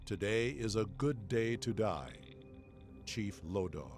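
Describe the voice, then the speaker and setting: An actor playing a solemn, deliberate warrior crewman in a game voice pack. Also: on the low side, animated, darkish tone, soft background music. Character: solemn male warrior crewman reciting battle mottos.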